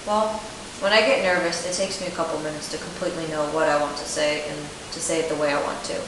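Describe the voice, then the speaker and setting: A young woman plays a self-conscious teenage client in a role-played therapy session, her voice low. She reads her answers steadily, as if from a script. The sound is roomy and distant, with a constant hiss behind it. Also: fast, close to an everyday voice. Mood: earnest. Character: self-conscious eighteen-year-old woman client who stutters. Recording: echoey room, distant mic, steady hiss.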